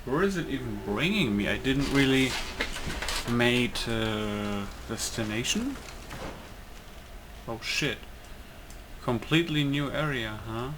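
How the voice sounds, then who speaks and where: A man's voice: mid-range voice, animated, takes it slowly, pauses often. A man giving casual let's play commentary, talking half to himself as he plays. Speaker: gamer, video host. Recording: clear background game noise.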